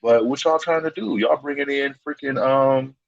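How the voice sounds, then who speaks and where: A man's voice: mid-range voice, moderate pace, vocal fry, no echo, muffled recording. A man co-hosting a sports talk show, casual and slangy, often acting out what players might be thinking. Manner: animated, slangy, acts out players' thoughts.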